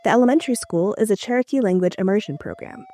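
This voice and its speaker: A woman's voice: mid-range voice, quick pace. Woman reporter narrating a radio feature. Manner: clear, even storytelling.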